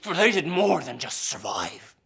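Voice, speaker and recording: Guy acting as a bitter pirate mutineer, theatrical, brooding, goes from hushed threats to big fiery speeches. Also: fairly high pitch, big pitch swings, unhurried, vocal fry, husky, exaggerated voice. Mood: taunting, fervent. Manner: brooding, swinging from hushed menace to impassioned outbursts. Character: embittered, defiant English pirate mutineer, a former pressed sailor. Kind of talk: theatrical dramatic monologue.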